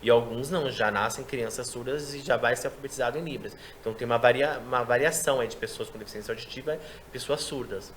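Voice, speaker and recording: A man who works as a sign language interpreter, chatting in an interview and explaining his work in a lively way. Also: medium pitch, a little hiss or hum. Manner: quick, explanatory, animated delivery. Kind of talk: casual interview chat.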